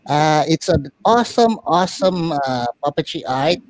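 Male Filipino interview host, fairly high voice, dry recording, expressive and playful delivery. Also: moderate pace, dry recording. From Philippines. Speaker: puppet show host. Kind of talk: talk show interview.

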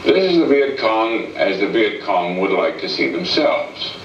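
Middle-aged American male presenter, lowish voice, formal scripted delivery, faint hiss on dated film audio. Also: very animated, distant mic. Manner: formal, measured. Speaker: on-camera presenter of a military film. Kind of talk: scripted 1960s newsreel-style presentation. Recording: muffled, echoey old film soundtrack, hissy.

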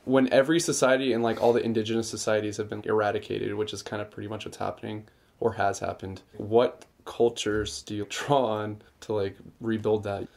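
A man with a low-pitched voice joins a casual salon discussion and speaks earnestly. His tone is gravelly, and he asks questions and fills pauses with "like". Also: level tone, darkish tone. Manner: earnest, questioning, uses filler like. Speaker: salon guest.

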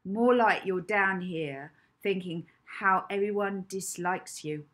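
A woman voicing a spiteful schoolgirl bully who jeers and mocks, louder and higher than her reading voice. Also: slow pace. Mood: sneering. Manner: loud, jeering, mocking.

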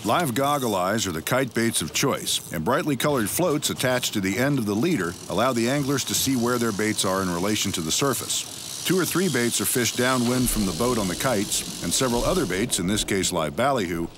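A man's voice: mid-range voice, lively intonation, fairly quick, background noise. A man narrating and hosting a fishing television show in scripted narration. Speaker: narrator and host. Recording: music under the voice.

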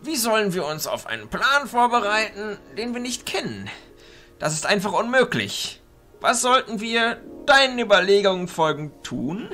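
A man playing an elderly, authoritative arch-chancellor of illusionists in a fantasy audio drama, speaking in a theatrical, deliberate way. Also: high-pitched, very animated, sharp tone, faint music behind, exaggerated voice. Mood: calm, questioning.

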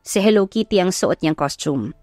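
A woman's voice: on the low side, animated, moderate pace, a little mellow, no echo, clean studio sound. A woman with a Filipino accent reading a scripted love story as an audiobook narrator. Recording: background music under the voice. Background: music.

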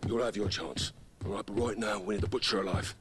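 An actor playing a gruff, commanding special forces captain in a military video game, giving terse orders. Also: male voice, lowish voice, steady tone, fast talker, lightly acted. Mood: grim, determined. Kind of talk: cinematic military game acting. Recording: game audio with clear background noise.

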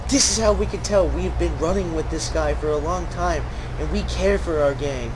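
A man's voice: fairly high pitch, normal speed, background noise. A man giving sarcastic gameplay commentary, swearing and ranting in exasperation with mock-dramatic emphasis. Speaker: gaming streamer. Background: video game music and sound.

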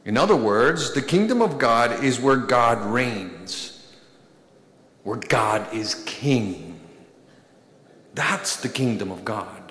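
Slow deliberate priest in echoing church. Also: male voice, medium pitch, animated, fairly bright tone. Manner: slow, deliberate preaching with emphatic pauses and gestures. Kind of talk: sermon. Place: large church, at a pulpit. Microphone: pulpit microphone. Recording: echoey church with long reverb.

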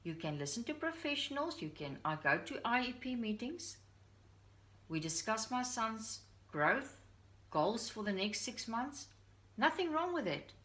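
A woman's voice: a little high, big pitch swings, measured pace, fairly bright tone. A middle-aged woman with a Dutch accent speaks to the camera from her living room. She talks in an earnest, confiding way, sharing her thoughts as a parent vlogger. The voice is picked up by a camera a short way off. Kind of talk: personal talk to camera. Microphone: camera on a stand at a short distance.

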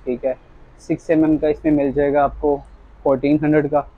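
A young man giving a casual, polite sales pitch, quoting products and prices as a shop salesman. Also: flat delivery, brisk, no echo, narrow, tinny audio. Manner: polite, addresses customer as sir. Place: small tiled fitness equipment shop, indoors.

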